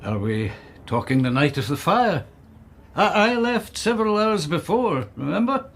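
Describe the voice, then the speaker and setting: An elderly Scottish gentleman of secrets in a radio play. His voice sits a little high for a man and moves around freely as he teases and reminisces. He is wry and genteel. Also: sing-song, measured pace, full character voice. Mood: amused reminiscing. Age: older. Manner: wry, teasing, reminiscing with chuckles. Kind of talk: radio drama acting. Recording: music behind.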